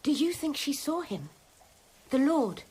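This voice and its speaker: An actress playing an anxious, curious and pious convent nun in a radio drama. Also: female voice, sits high, big pitch swings, measured pace, a little mellow. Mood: hushed gossip.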